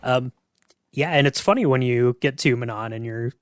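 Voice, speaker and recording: A man's voice: medium pitch, normal speed, smooth, dry recording. Man chatting, dry close sound. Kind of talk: casual podcast chat.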